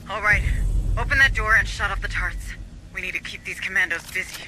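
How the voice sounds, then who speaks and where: An actress playing a resolute, defiant commander giving blunt orders to marines in a video game. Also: female voice, mid-range voice, a little fast, bright, forceful tone, a little hiss or hum, poor audio. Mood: commanding. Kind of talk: video game military dialogue.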